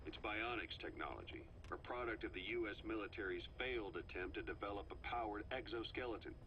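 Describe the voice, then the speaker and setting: An actor playing a middle-aged intelligence operative in a game's cassette tape, briefing in a measured, matter-of-fact way. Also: male voice, on the low side, pushed and bright, slight background noise, rough recording. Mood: dry, measured.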